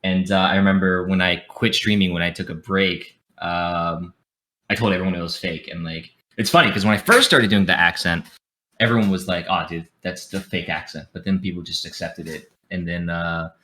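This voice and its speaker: Young man guest, rambling storyteller, bright forward tone, lowish voice, headset at home. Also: normal speed, slight background noise. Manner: rambling, self-deprecating, often restarts sentences. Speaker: podcast guest, streamer. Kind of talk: casual storytelling on a video call. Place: home room with gaming chair.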